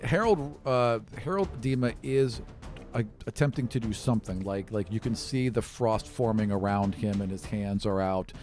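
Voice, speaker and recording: A man's voice: fairly low pitch, normal speed, a little mellow. A man narrating a tabletop role-playing game as game master, describing scenes in an improvised, conversational way. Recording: music playing behind the voice. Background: background music.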